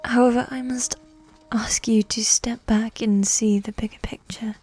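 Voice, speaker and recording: A woman playing a regal, seductive, coolly manipulative evil queen in a medieval ASMR roleplay, speaking in a soft, breathy whisper with formal, measured phrasing. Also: a little high, fairly even intonation, faint music behind. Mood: gently persuasive. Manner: slow, measured, formal, with long pauses.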